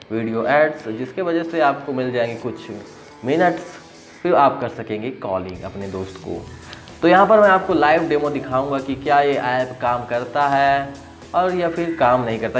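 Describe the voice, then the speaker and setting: A man casually explaining an app to his viewers, conversational and often rephrasing his points. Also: on the high side. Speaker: host of a tech app review channel.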